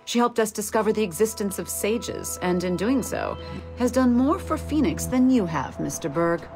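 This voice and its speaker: An actress playing a commanding, pragmatic Templar superior, speaking in a measured, authoritative way. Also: female voice, mid-range voice, animated, natural voice. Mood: cool, firm. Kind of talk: scripted game dialogue. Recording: loud music behind the voice.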